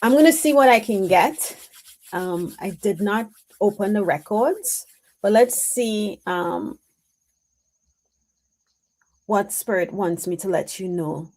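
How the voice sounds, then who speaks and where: A woman giving an intuitive spiritual reading on a live stream, answering her chat in a reflective, halting way with many pauses and "um"s. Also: mid-range voice, expressive, a little mellow. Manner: slow, reflective, halting with frequent "um"s.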